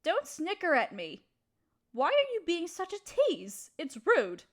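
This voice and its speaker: A woman playing a gentle, proper young noblewoman who speaks politely and coyly to her servant in an intimate roleplay audio drama. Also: very high voice, wildly swooping pitch, unhurried, full character voice. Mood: playfully indignant. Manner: polite, formal, period phrasing, coyly teasing.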